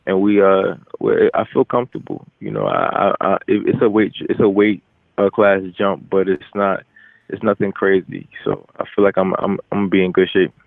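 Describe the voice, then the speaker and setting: A young man, a boxer, giving casual, halting interview answers with repeated phrase starts over a telephone. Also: deep voice, flat delivery, gravelly, no room sound. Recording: narrow, tinny phone line.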